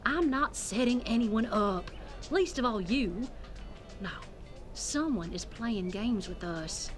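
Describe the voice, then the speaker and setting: A woman's voice: fairly high pitch, animated, slow, soft background music. An actress playing a composed, condescending woman author in an audio drama, with an arch manner. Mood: scornful. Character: haughty, unflappable woman author of religious books.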